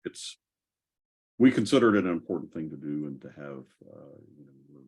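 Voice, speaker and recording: A man hosting a casual tech discussion, speaking haltingly as he thinks aloud. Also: a bass voice, animated, husky. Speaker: host of a software community call.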